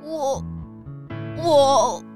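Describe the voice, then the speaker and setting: A woman's voice: high-pitched, drawn-out words, over music. A woman voicing a timid male kangaroo in a children's audio drama, nervous, pleading and stuttering. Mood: guilty, sheepish.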